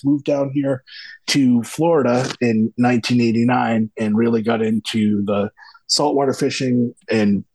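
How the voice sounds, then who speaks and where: A man in his fifties from Massachusetts, telling his background in plain, relaxed interview answers. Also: medium pitch, a little hiss or hum, low-quality recording. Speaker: marketing guest.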